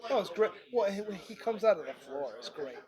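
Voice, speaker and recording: A man in a casual review chat, making quick, offhand remarks. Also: sits high, lively intonation, crowd noise. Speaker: podcast co-host.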